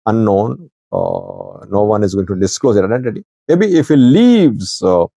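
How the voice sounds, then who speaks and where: A middle-aged man giving informal political news commentary to camera, speaking haltingly in short broken phrases. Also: lowish voice, huge pitch swings, vocal fry, dark tone, husky, no room sound. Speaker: news commentator, channel host. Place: small indoor office with wood-panelled wall. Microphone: small clip-on lavalier at chest.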